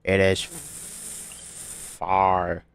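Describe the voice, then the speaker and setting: A man's voice: low voice, monotone, very slow, gravelly, slight background noise. A man doing casual gameplay commentary, muttering and humming filler to himself as he streams. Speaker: game streamer.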